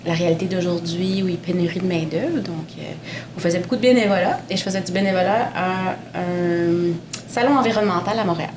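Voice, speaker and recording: A woman's voice: lowish voice, steady tone, quick pace. A woman in her forties, a Portuguese speaker, telling her career story as a panel guest with many fillers and restarts. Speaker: panel guest, mining executive. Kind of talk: conversational interview. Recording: echoey room with background noise.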